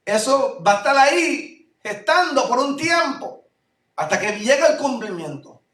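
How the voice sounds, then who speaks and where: A male pastor from Puerto Rico with a Puerto Rican accent, preaching a fervent sermon that swings between loud declarations and low asides. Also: very animated, measured pace. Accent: Puerto Rican Spanish. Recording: echoey room, voice from far off mic.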